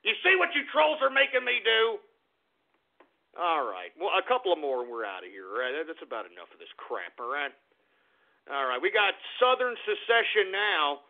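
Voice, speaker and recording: A man hosting an internet talk radio show, ranting in a loud, profane, exasperated way, with sudden shouted outbursts. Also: high-pitched, very animated, moderate pace. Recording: tinny phone-like lo-fi audio.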